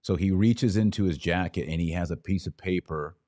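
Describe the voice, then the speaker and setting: A man's voice: low voice, fairly quick, warm tone. A man hosting a podcast, explaining and reacting to political clips in an informal, emphatic way. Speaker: podcast host.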